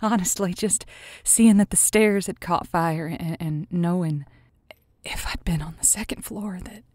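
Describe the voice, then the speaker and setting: A woman playing a tender, haunted radio advice host who speaks theatrically with endearments and deliberate pauses. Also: fairly low pitch, a little mellow, no echo, clean studio sound, lightly acted. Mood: haunted, hesitant. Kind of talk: audio drama as late-night call-in radio.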